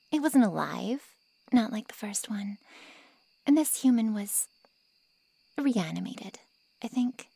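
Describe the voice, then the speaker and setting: A haughty female vampire, played by a woman in an ASMR audio drama, drawling slowly with mocking sarcasm. Her voice is breathy and creaky, very close and dry, dripping with callous disdain. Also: medium pitch, expressive, mellow, no echo, exaggerated voice. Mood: cold, disdainful.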